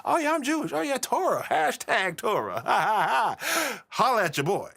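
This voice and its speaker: A flamboyant comic caricature of a gushing liberal, put on by a man mid-lecture. The voice is pitched high and swoops around, flirty, effusive and full of slang. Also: sing-song, normal speed, gravelly, pushed and bright, husky, exaggerated voice.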